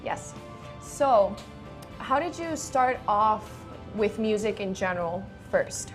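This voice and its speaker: A woman's voice: mid-range voice, lively intonation, slow pace. Female host in a casual interview, music behind.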